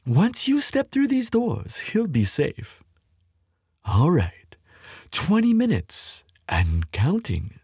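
A man acts out a smug villain in an audio roleplay. His pitch swings wildly as he makes taunting, mock-polite threats. The voice is husky and the recording close and dry. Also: huge pitch swings, slow, darkish tone, dry recording, full character voice. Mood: gloating. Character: gloating, menacing male villain making threats by phone.

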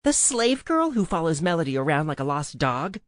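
A woman acts a bitter young female snob in an audiobook. She snaps scornfully, her pitch swinging widely, in a dry, close recording. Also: big pitch swings, normal speed, dry recording. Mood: sneering. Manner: snappish, scornful.

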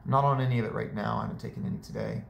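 Hoarse, gravelly young man in an echoey room. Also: fairly low pitch, racing, far from the mic, a little hiss or hum. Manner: relaxed and chatty, with many fillers. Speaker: vlogger. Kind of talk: casual recovery update. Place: small living room indoors. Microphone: camera a few meters away.

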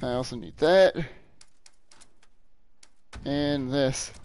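A man's voice: medium pitch, drawn-out words, a little mellow, clear, resonant tone. A young man playing a video game on stream, chatting crudely and joking with viewers, laughing often. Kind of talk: casual gameplay commentary.

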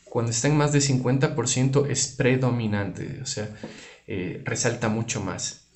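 A man giving an informal guitar effects tutorial, explaining things in a halting way with restarts. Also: mid-range voice, a little mellow. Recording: roomy, reverberant room sound. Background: electric guitar playing.

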